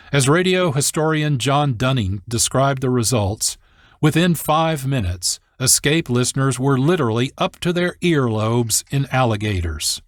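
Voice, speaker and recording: A man hosting a radio program, reading scripted, explanatory narration in a measured way. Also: medium pitch, no echo. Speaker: radio show producer and host.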